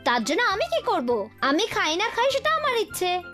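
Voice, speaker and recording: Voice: very high voice, wildly swooping pitch, normal speed, exaggerated voice. A performer voicing a spiteful, self-satisfied bird in a children's cartoon fable, with childlike, defiant bickering. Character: spiteful, self-satisfied second head of a two-headed bird. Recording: music behind the voice.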